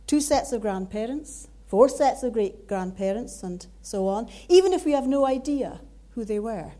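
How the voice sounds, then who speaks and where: A woman with a Scottish accent giving a sermon in an earnest, measured way. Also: on the high side, dark tone. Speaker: preacher.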